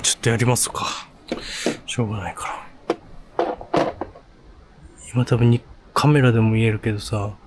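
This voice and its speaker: A man's voice: mid-range voice, darkish tone, a little hiss or hum. A man speaking as a paranormal investigator on location, talking through what is around him and calling out questions. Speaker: paranormal investigator in a video duo.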